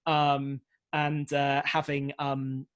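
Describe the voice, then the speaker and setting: A man speaking as a panellist in a conversational way, haltingly, often repeating words as he works out his answer. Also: medium pitch, completely flat delivery, fairly bright tone, smooth. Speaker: prison radio broadcaster, panellist.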